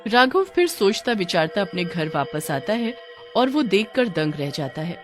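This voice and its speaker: A storyteller delivers scripted horror narration in a dramatic style. The intonation is lively and varied, and music plays clearly behind the voice. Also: mid-range voice, moderate pace.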